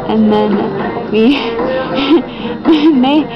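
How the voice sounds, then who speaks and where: A woman's voice: high-pitched, very slow, dull audio, loud music behind the voice. A tired young woman chatting casually into a handheld camera at an airport gate, leaning on "really" for emphasis. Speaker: vlogger. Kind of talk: casual travel vlog. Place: airport gate area, indoors, early morning. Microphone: handheld camera at arm's length.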